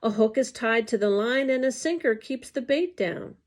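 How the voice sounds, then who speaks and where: A woman reading scripted educational narration aloud in a deliberate, enunciated way. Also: a little high, lively intonation, a little mellow.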